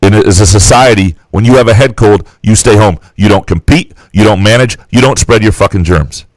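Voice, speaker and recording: A man's voice: fairly low pitch, a little fast, dry recording, poor audio. A man co-hosting a sports talk show, ranting bluntly and profanely with strong opinions. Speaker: sports talk radio co-host.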